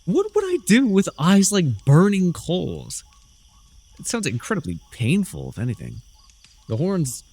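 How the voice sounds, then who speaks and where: A man playing a smug, sardonic young dark sorcerer in a fantasy ASMR roleplay, theatrical and teasing, with dry, drawling mockery. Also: mid-range voice, full character voice. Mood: amused disbelief.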